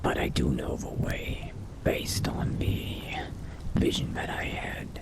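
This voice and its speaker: A man voicing a brooding, curious young vampire adventurer in a video game roleplay, musing to himself in a slow, hushed, gravelly whisper. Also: natural voice. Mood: musing, wary. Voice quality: very deep, breathy, gravelly whisper. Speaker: roleplay gamer.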